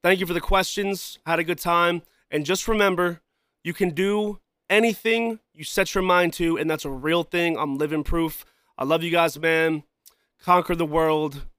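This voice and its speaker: Male solo podcast host, slightly high voice, loose rambling delivery, keeps coughing and clearing his throat, dry close mic. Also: fairly even intonation, moderate pace, fairly bright tone, dry recording. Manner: loose, rambling, repeats himself, frequent "you know" and "man". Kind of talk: casual solo podcast talk.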